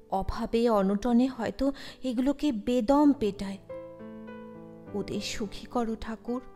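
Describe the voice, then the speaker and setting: A woman's voice: a little high, a little mellow, big-room sound. A woman narrating a scripted audio story expressively, as a storyteller. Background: music.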